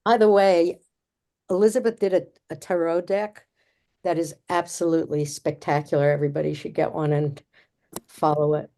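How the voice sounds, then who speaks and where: A woman speaks on a video call with effusive enthusiasm. Her voice is warm and mellow, pitched somewhat low. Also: flat delivery, normal speed. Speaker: workshop participant. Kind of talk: casual chat on a video call.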